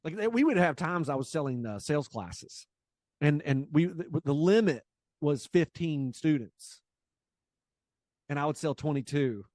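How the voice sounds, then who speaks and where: A man in his late forties talking casually as an interview guest, telling things in an energetic way and voicing quoted exchanges. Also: fairly high pitch, unhurried, dry recording, clean studio sound. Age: late 40s. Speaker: guest, business coach and sales trainer.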